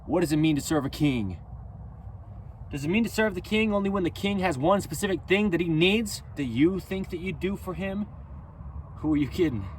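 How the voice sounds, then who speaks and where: A man delivering a rhythmic, rhyming spoken-word freestyle with a preachy, emphatic and loud delivery. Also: sits high, animated, normal speed, a little hiss or hum. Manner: loud, near-yelling delivery.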